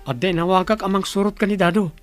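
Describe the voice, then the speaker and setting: An actor playing a brisk, scheming character in a Philippine radio drama. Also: medium pitch, faint music behind, lightly acted. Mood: urgent.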